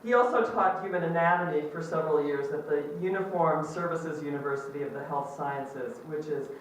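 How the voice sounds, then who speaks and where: A woman's voice: low voice, brisk, far from the mic, slight background noise. Enthusiastic woman speaking in an echoey hall. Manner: upbeat, enthusiastic, with frequent ums. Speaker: department chair. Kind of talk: ceremony welcome speech. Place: large echoey lecture hall. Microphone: amplified microphone recorded from a distance.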